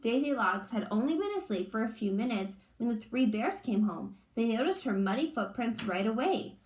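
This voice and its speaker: A woman's voice: a little high, animated, normal speed, a little mellow, echoey room, rough recording. A woman reading a children's storybook aloud like a teacher, expressively and in simple phrasing for young listeners.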